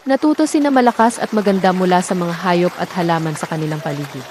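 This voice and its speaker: A woman narrating a folktale from a script in a dramatic, deliberate storytelling manner. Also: lowish voice. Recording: clear background noise, nature sound effects.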